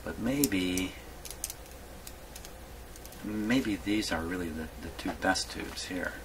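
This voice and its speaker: A man giving a casual hands-on workshop demonstration, thinking aloud in a halting mutter and repeating his words. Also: deep voice. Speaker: hobbyist radio repairer presenting his workshop video. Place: radio repair workshop. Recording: clearly noisy workshop recording.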